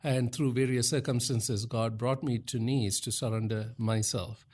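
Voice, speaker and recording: A middle-aged man from Sri Lanka, a guest on a podcast, answering thoughtfully in short phrases with pauses. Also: medium pitch, steady tone, darkish tone. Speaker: guest, leadership coach. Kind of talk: earnest interview answers.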